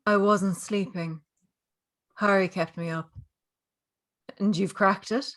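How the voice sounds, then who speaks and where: A woman playing a calm, sceptical character in a drama table read, questioning and unhurried. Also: mid-range voice.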